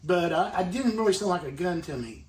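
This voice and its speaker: An older man with a fairly high voice tells his story in a rambling way, full of fillers. He sits back from the mic in a roomy home room. Faint noise hums under the clip. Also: expressive, fairly quick. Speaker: eyewitness interviewee. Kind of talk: recounting a personal story. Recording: roomy, distant, faintly noisy video clip.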